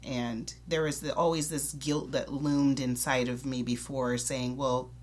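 A woman's voice: very deep voice, fairly even intonation, unhurried, darkish tone. A woman in her forties, a podcast guest speaking earnestly and openly, laughing easily. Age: 40s. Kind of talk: casual chat.

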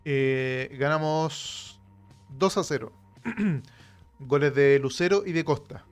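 A man co-hosting a casual football podcast, relaxed with dry jokes. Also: fairly high pitch, slow, clean tone, soft background music. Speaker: podcast co-host.

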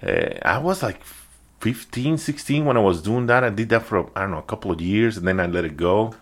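Mexican-accented man, very low voice, relaxed storyteller with plenty of ums and restarts. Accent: Mexican Spanish. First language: Spanish. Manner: unhurried, frequent fillers and restarts. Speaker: comedian guest. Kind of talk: casual storytelling chat.

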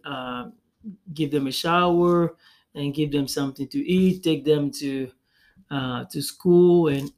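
A man with a Congolese accent speaks in a slightly high voice. He answers interview questions reflectively as a pastor, often pausing and restarting words while he thinks. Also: a little mellow. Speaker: guest, pastor.

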